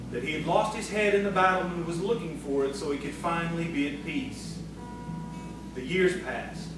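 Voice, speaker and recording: A man with an American accent reading scripted narration as a measured, solemn storyteller. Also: high voice. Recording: very echoey, distant, music behind.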